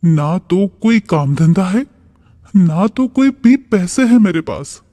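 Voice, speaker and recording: A man's voice: high-pitched, lively intonation, slow pace, warm tone. A man playing a poor, worried village farmer in a cartoon story, plain-spoken and pleading. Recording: long reverb.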